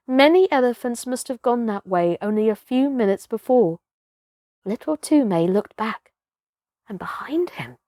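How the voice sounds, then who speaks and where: Female storybook narrator: fairly high voice, expressive and dramatic, close dry sound. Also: dry recording. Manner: dramatic, varies between hushed and emphatic. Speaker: storybook reader, performer.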